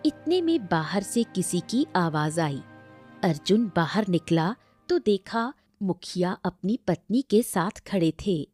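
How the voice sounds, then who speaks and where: A woman's voice: on the low side, lively intonation, slow, darkish tone, husky, no echo, faint music behind. A woman narrating a scripted moral story in storybook style.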